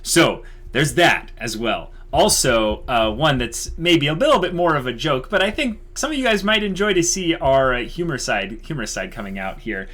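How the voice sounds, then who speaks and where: A man's voice: medium pitch, very animated, moderate pace, few pauses, a bit bright. Male host, casual discussion, wordy explanations, frequent qualifiers, mid-range voice. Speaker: channel host.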